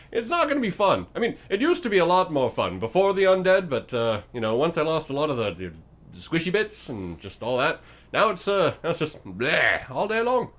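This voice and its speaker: Man voicing a glib giant skeleton oracle, pitched up and swooping. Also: wildly swooping pitch, moderate pace, a bit bright. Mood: wistful, rambling. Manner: rambling, casual, wheedling haggler.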